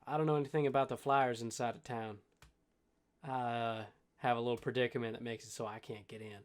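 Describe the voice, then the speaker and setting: A man's voice: mid-range voice, fairly even intonation, fairly quick, close to an everyday voice. A man voicing a sly, friendly red tiefling outcast in a tabletop game, casual and a little hesitant. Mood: evasive. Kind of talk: tabletop roleplay.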